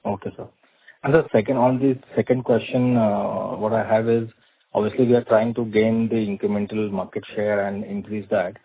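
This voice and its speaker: Male analyst, Indian accent, low husky voice with flat intonation, politely putting halting questions over a phone line. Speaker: financial analyst asking questions. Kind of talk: earnings call questioning. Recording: muffled, narrow phone line.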